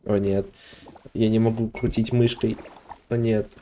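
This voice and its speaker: A man's voice: lowish voice, flat delivery, normal speed, warm tone, a little hiss or hum. A man, a native Russian speaker, giving casual, hesitant, muttered commentary as he streams a video game. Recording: faint game music behind.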